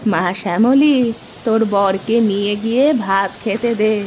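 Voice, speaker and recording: A woman's voice: mid-range voice, unhurried, darkish tone, slight background noise, natural voice, low-quality recording. A woman voicing a kindly old village granny in a cartoon, giving affectionate orders. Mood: kindly, instructing.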